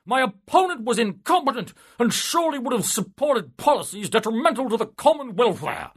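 A man voicing the soul of a pompous, corrupt male civil servant, talking in a haughty, grandiose, indignant way with a husky voice. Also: extremely high pitch, very animated, fairly quick, voice pushed up, exaggerated voice.

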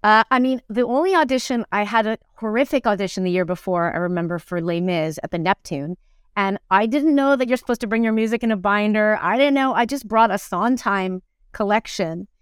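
Middle-aged woman, clear smooth voice, dry close sound, casual storyteller, animated and rambling. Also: mid-range voice, a little fast, no echo. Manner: animated, rambling, self-deprecating, quotes people as she tells stories. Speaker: interview guest, musical theatre performer and teacher.

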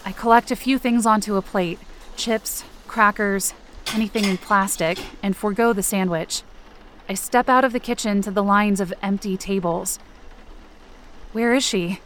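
A woman's voice: medium pitch, moderate pace, fairly bright tone, slight background noise, natural voice. A woman playing an anxious, injured young camp counselor, narrating a first-person audio drama in a tense, dramatic way. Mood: uneasy, tired. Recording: rain and ambience behind the voice. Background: rain and outdoor ambience effects.

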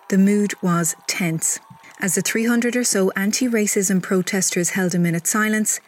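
A woman hosting a news podcast, reading scripted narration in a measured, clear way. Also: lowish voice. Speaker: podcast host.

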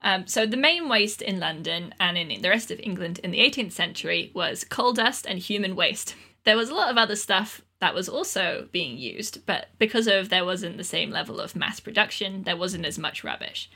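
Bright-voiced woman chatting casually. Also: mid-range voice, lively intonation, normal speed, hardly any pauses, husky. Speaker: podcast panelist. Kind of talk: casual comedic podcast chat.

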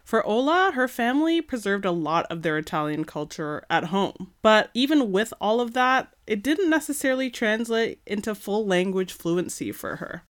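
A woman host narrating her show in a reflective, measured way. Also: mid-range voice, big pitch swings, no room sound, studio quality. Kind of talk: scripted narration. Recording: music bed behind voice.

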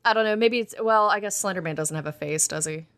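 A woman with a medium-pitched voice chats casually as a co-host. She is jokey and musing, reacting and trailing off as she thinks out loud. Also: fast talker, clear, resonant tone. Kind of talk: casual chat.

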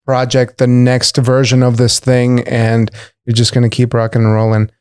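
A man's voice: medium pitch, monotone, normal speed, darkish tone, clear, resonant tone, dry recording, clean studio sound. A man hosting a podcast interview in casual chat, rambling, joking and swearing a lot. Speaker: podcast host.

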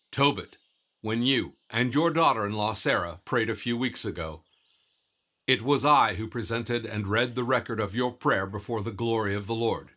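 A man playing a calm, authoritative archangel in a religious audio drama, solemn and measured in delivery. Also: fairly low pitch. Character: calm, authoritative male archangel revealing himself.

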